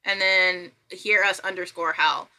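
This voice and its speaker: A woman's voice: fairly low pitch, steady tone, unhurried, sharp tone, low-quality recording. A woman speaking as a podcast guest in a casual, informal and slightly hesitant way. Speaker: podcast guest, fiction podcast creator. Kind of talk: casual chat.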